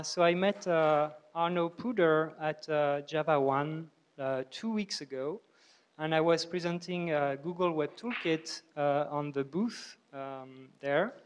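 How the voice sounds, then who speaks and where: A man hosting a tech talk, giving an informal, halting introduction of a guest speaker. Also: fairly high pitch, smooth.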